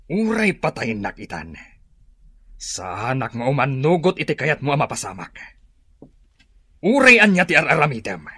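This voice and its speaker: Casting: defiant captive chieftain for radio drama, forceful rough-edged voice, emphatic and lively. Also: male voice, medium pitch, normal speed, long pauses, dry recording, full character voice.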